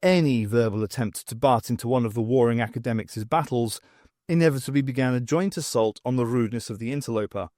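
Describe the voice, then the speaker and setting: A man narrating a scripted fiction audiobook with expressive storytelling. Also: mid-range voice, quick pace, no echo, studio quality.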